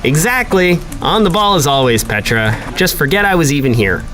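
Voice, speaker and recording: An actor playing a smooth, falsely cheerful office supervisor who brushes off questions. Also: male voice, fairly high pitch, big pitch swings, moderate pace, fairly bright tone. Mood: breezy. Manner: breezy and dismissive, brushing off questions. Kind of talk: audio drama acting.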